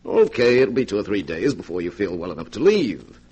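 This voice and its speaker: Bossy young crook in a 1940s radio play, low voice, very animated, slangy and scheming. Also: male voice, a little fast, husky, full character voice. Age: young adult. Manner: brusque, slangy, domineering. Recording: 1940s radio recording, organ music behind.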